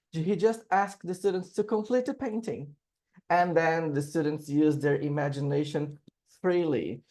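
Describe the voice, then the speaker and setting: A man's voice: sits high, a little mellow. A man from Brazil with a Brazilian Portuguese accent, presenting to teachers over a video call and often checking in with the audience. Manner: explanatory, often checks in with "right?". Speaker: teacher and panel speaker. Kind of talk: webinar presentation.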